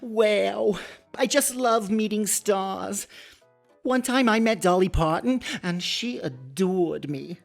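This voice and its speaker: A woman's voice: mid-range voice, takes it slowly, creaky, faint music behind. A woman playing a vain, starstruck celebrity co-host in gushing, theatrical comic banter.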